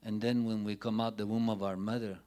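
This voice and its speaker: A middle-aged man telling personal stories as a panelist at a public forum, in a deliberate, halting way with short phrases and pauses, into a handheld microphone. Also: fairly low pitch, little pitch movement, mellow. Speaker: panelist speaking at a community forum. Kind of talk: unscripted public speech, personal storytelling. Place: indoor forum room, before a banner. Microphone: handheld microphone held near the mouth.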